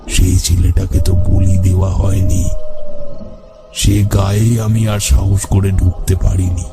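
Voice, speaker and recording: Solemn repentant ascetic, deep-set voice, narrow pitch range, halting, horror audio drama. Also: male voice. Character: repentant male tantric ascetic, humbled, solemn devotee of the goddess.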